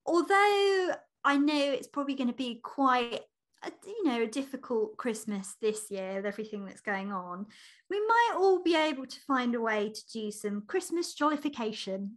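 A woman co-hosting a podcast, chatting casually about books in an expressive, chatty, giggly manner. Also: high voice, moderate pace. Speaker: podcast co-host. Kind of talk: casual book discussion chat.

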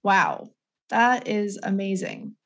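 A woman's voice: mid-range voice, wildly swooping pitch, takes it slowly. A woman hosting an interview podcast, chatty and enthusiastic, affirming her guest as she talks. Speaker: podcast host. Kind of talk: casual interview talk.

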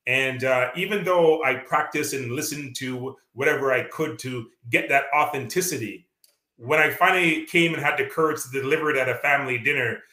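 A Canadian man with a Canadian accent talks earnestly as a guest author, stopping often on fillers and restarts. His voice is bright and forceful. It sounds echoey, like an open room. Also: medium pitch, a little fast. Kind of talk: reflective interview talk.

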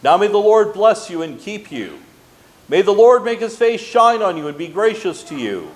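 A man speaking as a pastor, leading liturgical prayer and blessing in a solemn, formal way with measured phrases and pauses. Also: sits high, big pitch swings.

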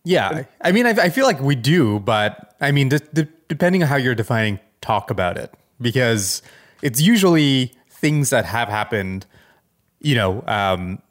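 A man's voice: medium pitch, very animated, normal speed. A man co-hosting a podcast, chatting informally with hesitant, loosely built sentences. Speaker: podcast co-host. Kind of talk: casual podcast chat.

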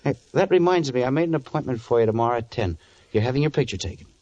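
An actor playing an affectionate but condescending husband in a 1950s radio drama, easygoing and teasing. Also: male voice, mid-range voice, expressive, quick pace, a little mellow, no room sound, lightly acted. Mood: brisk, casual. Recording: dull vintage radio recording.

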